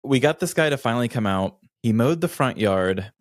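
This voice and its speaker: A man's voice: medium pitch, lively intonation, moderate pace, no echo, clean studio sound. An American man co-hosting a podcast, telling casual comedic stories with a dramatic, self-deprecating, complaining manner. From United States. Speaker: podcast co-host.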